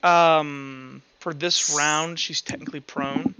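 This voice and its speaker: A man's voice: on the high side, fairly even intonation, takes it slowly, sharp tone, clean tone, no echo, rough recording. A man talking casually as game master, running a tabletop roleplaying game.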